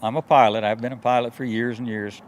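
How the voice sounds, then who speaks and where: A man's voice: medium pitch, fairly even intonation, fast talker. A man in his sixties talking casually and earnestly, telling his story in a folksy way. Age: 60s. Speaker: interviewee, airport worker and pilot. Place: outdoors in a grassy wooded clearing. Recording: noisy outdoor field recording, faint vehicle hum.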